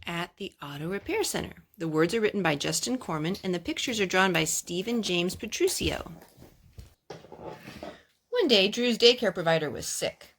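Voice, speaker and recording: A mother reads a picture book to her small children in a very animated voice. She slips into singsong, coaxing asides as she goes. The home recording has faint noise and clicks. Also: on the low side, normal speed. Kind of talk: storybook read-aloud with chatty asides to children.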